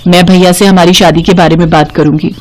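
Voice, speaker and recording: A woman's voice: fairly low pitch, steady tone, brisk, lightly acted. A woman playing a headstrong, wronged young wife and mother in an emotional, melodramatic cartoon story. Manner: emotional, pleading.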